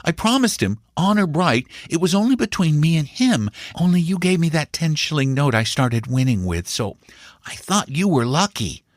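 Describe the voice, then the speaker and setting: A man's voice: a little high, sing-song, moderate pace, no room sound, studio quality, voice pushed up. A man voicing a grave, earnest young boy who shares secrets in a storybook. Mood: earnest, pleading. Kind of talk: storybook character dialogue.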